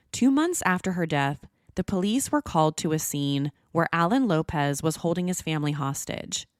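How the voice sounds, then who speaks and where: A woman's voice: low-pitched, dry recording, studio quality. A woman hosting a podcast, reading scripted true-crime narration. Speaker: podcast host.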